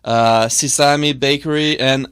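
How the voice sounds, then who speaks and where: Smooth-voiced man reading off a list. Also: medium pitch, fairly even intonation, takes it slowly, a bit bright, no room sound, clean studio sound. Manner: lists names with many uhs. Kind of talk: casual studio chat. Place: small cluttered radio studio. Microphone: studio mic.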